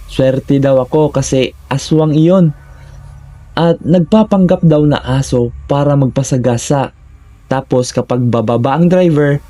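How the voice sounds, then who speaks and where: A man with a Filipino accent narrates a scripted first-person horror story in short, deliberate, suspenseful phrases. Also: mid-range voice, dark tone.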